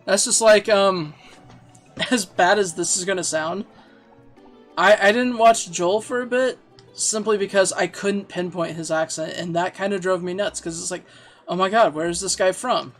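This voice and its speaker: A man's voice: high voice, normal speed, a bit bright. A man streaming a game from home, chatting casually and self-deprecatingly, laughing easily, with faint music behind. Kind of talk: casual chat while playing and reading chat.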